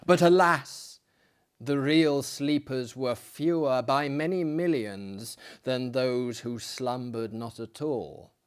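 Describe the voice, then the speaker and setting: A man who performs dramatic readings, speaking in a hammy, florid, self-deprecating storyteller's manner that swells and hushes. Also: medium pitch, takes it slowly, smooth.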